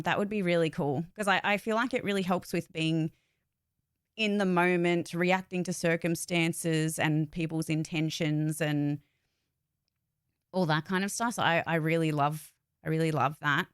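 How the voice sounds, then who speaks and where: A woman's voice: fairly low pitch, smooth, no echo, clean studio sound. A woman chatting casually and informally on a livestream, rambling a little as she gives updates. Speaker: voice actor and streamer giving updates.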